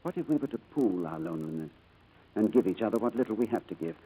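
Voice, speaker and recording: An actor playing a reserved, courteous gentleman with a hesitant, formal manner in a 1940s radio drama. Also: on the low side, expressive, quick pace, husky. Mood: earnest, hesitant. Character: reserved, courteous wealthy English businessman, haunted by lost past. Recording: noisy vintage radio broadcast.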